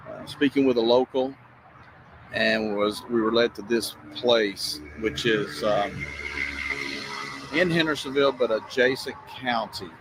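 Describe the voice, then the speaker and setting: Halting man narrating outdoors over noise. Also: mid-range voice. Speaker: on-the-scene video streamer. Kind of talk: casual on-location narration. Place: outdoors by a road and woods. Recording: outdoor noise, distant freeway. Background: freeway and ambient outdoor noise.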